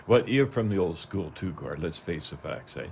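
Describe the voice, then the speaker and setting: A man's voice: sits low, animated, normal speed, darkish tone, a little hiss or hum. An older man with a Canadian accent hosting a talk radio show in a folksy, rambling, casual way, often ending with "eh".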